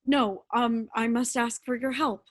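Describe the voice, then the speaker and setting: A woman's voice: a little high, fairly even intonation, measured pace. A woman playing an earnest, worried friend who seeks help, in a staged play reading. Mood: concerned, polite.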